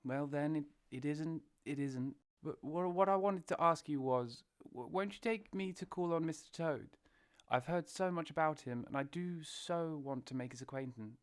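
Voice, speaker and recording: A man's voice: a little high, moderate pace, smooth, natural voice. A man doing a shy stammering mole. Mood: timid. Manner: timid, stammering. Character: shy polite young male mole.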